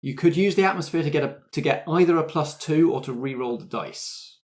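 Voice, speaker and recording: A man's voice: on the high side, lively intonation, fairly quick, a little mellow. A man acting as game master, casually explaining rules and dice rolls.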